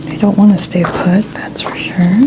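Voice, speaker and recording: A woman speaking softly as an ASMR host, often close to a whisper, with gentle, soothing, unhurried instructions. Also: medium pitch, level tone, poor audio. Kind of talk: soft-spoken ASMR, often near whisper.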